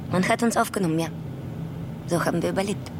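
Eine Schauspielerin als zurückhaltende Kosovarin mit Akzent. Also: female voice, fairly low pitch, fast, over music, natural voice. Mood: wistful. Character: reserved immigrant woman taking a sightseeing flight. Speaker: radio drama actor.

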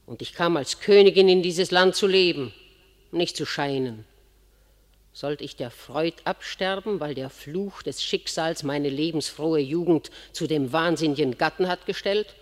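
A woman's voice: sits low, measured pace. A woman acting a proud, vengeful queen mother in a classical verse radio drama, declamatory and scornful. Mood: passionate. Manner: declamatory, theatrical. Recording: long hall reverb.

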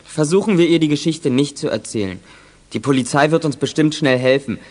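Young man as earnest, higher-voiced schoolboy. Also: a little fast, close to an everyday voice. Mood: worried. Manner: earnest, eager. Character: plucky, polite schoolboy with a loyal dog. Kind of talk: 1980s German children's radio play acting.

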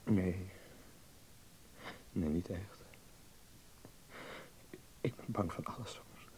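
An actor playing a timid, anxious young Dutchman in a radio play, with a husky, creaky voice and a hesitant, subdued manner. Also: low voice, very slow, dark tone, whispered, poor audio.

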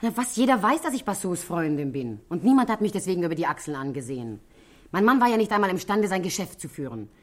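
An actress playing a brash, indignant widow who is quick to scold, in a theatrical 1960s German radio play style. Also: female voice, on the low side, big pitch swings. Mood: indignant, scornful.

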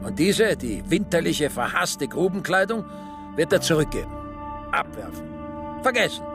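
Bleak-tale storyteller, radio play, lively intonation, lighter pitch, guitar underscore. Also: male voice, normal speed. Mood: tense storytelling. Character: male storyteller of a bleak prison-camp tale. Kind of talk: literary radio play narration and dialogue.

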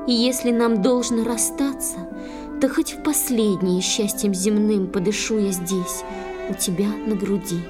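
A woman playing a gentle, humble young water-spirit bride full of tender sorrow in a poetic verse audio drama. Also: mid-range voice, steady tone, unhurried, music in the background, natural voice. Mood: tender longing.

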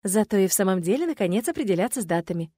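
A woman's voice: medium pitch, lively intonation, rapid-fire, mellow, no room sound, natural voice. Woman voicing a sly witch ghost. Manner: sly, teasing. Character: playful female witch ghost, sly and teasing.

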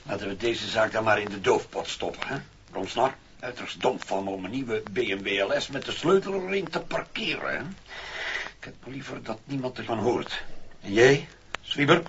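Deep, effusive small-town mayor. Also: male voice, animated, moderate pace, a bit bright. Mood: hearty, conspiratorial. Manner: hearty, effusive, avuncular. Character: genial, dignified, effusive small-town mayor, older man. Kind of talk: 1960s comic radio play acting. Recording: muffled, hissy 1960s vinyl single.

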